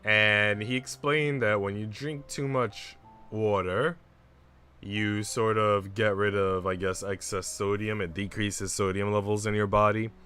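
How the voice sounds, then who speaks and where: A man's voice: fairly low pitch, fairly even intonation, unhurried, bright, forceful tone, clear, resonant tone, faint music behind. A man chatting informally and casually as he livestreams a game. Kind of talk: casual gaming stream chat and reading a visual novel aloud.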